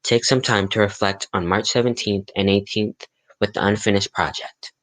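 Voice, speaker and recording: A man's voice: on the low side, steady tone, normal speed, fairly bright tone, natural voice, poor audio. A man playing a courteous radio announcer and host in an old-time radio drama, speaking in a polite, scripted promotional style. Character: courteous, eager radio announcer and visiting interviewer.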